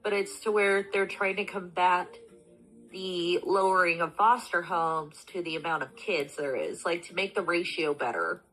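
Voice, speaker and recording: A woman's voice: fairly low pitch, normal speed, a bit bright, low-quality recording. Stuffy-nosed woman in her thirties. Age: 30s. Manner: rambling, many fillers and restarts. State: stuffy from allergies. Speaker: panelist. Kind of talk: casual discussion.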